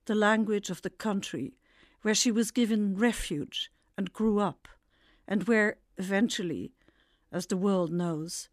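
Slow, measured older Dutch-born woman narrating. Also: mid-range voice, dry recording, clean studio sound. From Netherlands. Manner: measured, reflective storytelling. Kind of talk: scripted personal memoir narration.

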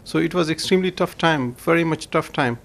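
Man from Afghanistan, interviewee; gravelly, fairly high voice, earnest and measured. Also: a bit bright. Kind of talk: interview answers.

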